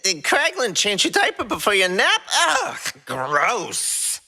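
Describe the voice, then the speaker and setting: A woman's voice: medium pitch, wildly swooping pitch, slow, bright, forceful tone, heavily acted. A woman voicing a grumpy, sarcastic male raccoon father in an acted fan fiction podcast. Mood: disgusted. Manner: sarcastic, exclaiming.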